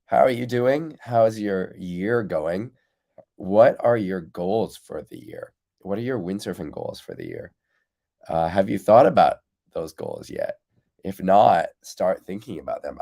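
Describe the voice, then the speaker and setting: Low gravelly man, congested. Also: warm tone. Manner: reads out viewer comments and answers them directly. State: congested, recovering from losing his voice. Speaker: windsurf coach hosting a live stream. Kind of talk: casual live-stream chat.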